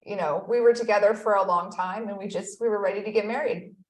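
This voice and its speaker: A woman's voice: medium pitch, fairly even intonation, fast, roomy sound, rough recording. A woman in her thirties, a guest in a conversational interview, telling her story in an earnest, reflective way. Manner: earnest, reflective storytelling with frequent "you know". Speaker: guest, accountability expert.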